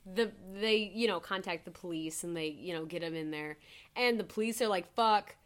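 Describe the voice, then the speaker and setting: Brief: young woman with a high voice, casual true-crime podcast co-host, filler-heavy and chatty. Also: moderate pace.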